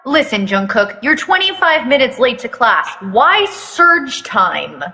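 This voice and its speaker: Woman acting scolding female clerk, jaded, condescending, bright edge, reverberant room, fanfic story. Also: a little high, very animated, slow pace, full character voice. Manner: condescending, needling. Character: jaded, scolding middle-aged female school office clerk.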